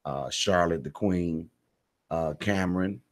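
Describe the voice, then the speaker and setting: A man's voice: low voice, slow. An American man talking casually as a radio guest, plain-spoken and slangy, repeating phrases for emphasis. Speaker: stage playwright and director, radio guest.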